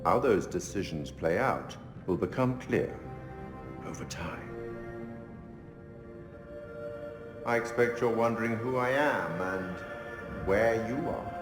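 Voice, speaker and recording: A man playing an enigmatic, stately curator of dark tales in a video game, speaking in a measured, formal, theatrical way. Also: lowish voice, animated. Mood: solemn, mysterious. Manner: measured, formal, ominous, deliberate. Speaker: narrator addressing the player. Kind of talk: theatrical scripted game narration. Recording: echoey hall reverb, music behind.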